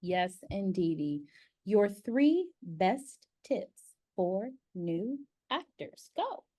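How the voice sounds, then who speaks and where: A woman's voice: medium pitch, sing-song, very slow, mellow. A woman hosting a casual interview, chatting in a playful, teasing way and readily interjecting. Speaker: interview host. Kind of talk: casual chat.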